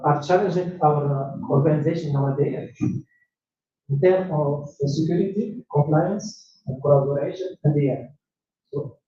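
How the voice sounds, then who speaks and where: A man's voice: fairly high pitch, dark tone, distant mic, a little hiss or hum. Echoey man presenting in a hall. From Tunisia. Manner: hesitant, many fillers and restarts, non-native phrasing. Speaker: conference speaker. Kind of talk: technical conference presentation. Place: conference room, large hall. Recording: echoey room mic from afar, dull.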